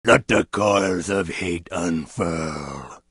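An actor playing menacing, bloodthirsty male trolls with a raspy voice in a fantasy video game. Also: deep voice, extremely slow, a bit bright, no room sound, studio quality, heavily acted. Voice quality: raspy, gravelly.

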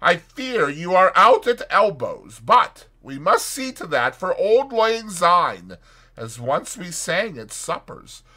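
A man's voice: sits high, big pitch swings, takes it slowly, bright, forceful tone, voice pushed up, full character voice. A man voicing a wealthy, dignified old doctor in a storybook, flustered, condescending and falsely gracious. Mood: effusive, condescending. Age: older. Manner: smooth-talking, condescending, nervously placating.

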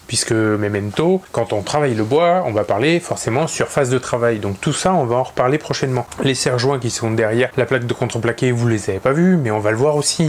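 Relaxed French-speaking man touring his workshop. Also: mid-range voice, slight background noise. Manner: relaxed, many pauses and "euh" fillers. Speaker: woodworking channel host. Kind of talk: casual workshop tour. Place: indoor wood workshop.

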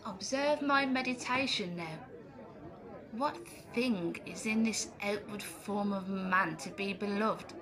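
A sardonic, morbid tavern philosopher played by a woman declaims old stage prose. Her medium-pitched voice is heard among busy crowd chatter. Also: slow pace, close to an everyday voice, poor audio. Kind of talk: Jacobean stage monologue in audio drama.

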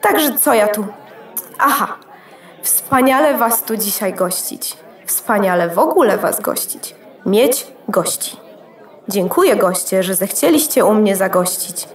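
A woman's voice: medium pitch, expressive, unhurried, natural voice. A woman playing a gracious, easily flustered motherboard hostess in a children's audio drama, polite, effusive and rambling. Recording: echoey room.

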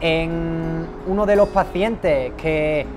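A man's voice: on the high side, drawn-out words. A young man interviewing a patient outdoors on a patio, asking leading questions with hesitant restarts. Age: young adult. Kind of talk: promotional interview. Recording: music bed underneath. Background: background music.

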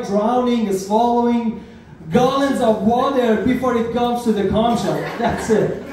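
Persian accent guy doing standup, high-ish voice, super echoey club, mic far off. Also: a little hiss or hum. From Iran. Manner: animated, slightly broken English phrasing. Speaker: stand-up comedian. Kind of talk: stand-up comedy set. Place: small comedy club stage, indoors. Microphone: handheld mic, heard from a far camera.

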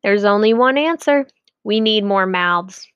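A woman's voice: mid-range voice, animated, measured pace, fairly bright tone, clean tone, dry recording, dull audio. A woman voicing a confident, sage village rabbi from a storybook, pronouncing advice with assurance. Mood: decisive.

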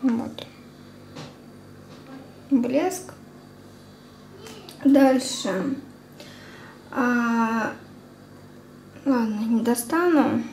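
A young woman chatting casually through a product review as a beauty vlogger, informal and unhurried, thinking aloud. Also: on the high side, a little mellow. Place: indoors at a table at home. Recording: clear background room noise.

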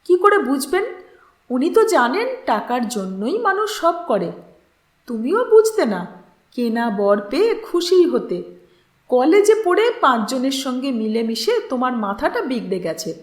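A woman's voice: sits high, big pitch swings, a little slow, roomy sound. A woman voicing a firm male mentor who admonishes and persuades, in a story reading. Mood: reasoning. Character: firm, reasoning older male mentor advising a young woman.

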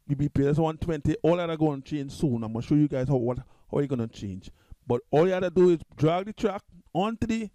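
A man with a Trinidadian accent, talking loosely through a music software tutorial at his computer, repeating himself and restarting often. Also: on the high side, dry recording. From Trinidad and Tobago. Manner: loose, repetitive, restarts a lot. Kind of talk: casual screen-recorded software tutorial.

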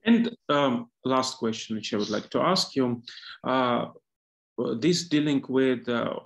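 A man in his thirties from Ukraine, interviewing on a video call with halting, filler-heavy questions. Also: mid-range voice, creaky.

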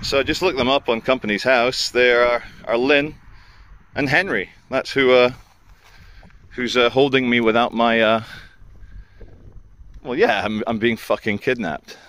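A man in his thirties filming himself outdoors on a driveway with a handheld camera, talking loudly, pleading and indignant. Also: mid-range voice, steady tone, unhurried, pauses often. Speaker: YouTuber filming a confrontation. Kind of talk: confrontational self-filmed vlog. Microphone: handheld camera mic.

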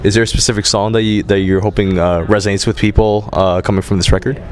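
A man's voice: lowish voice, level tone, fast talker, soft background music. A young man interviewing a musician on a living-room couch, asking short, slightly hesitant questions with "uh" fillers. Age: young adult. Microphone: far camera mic.

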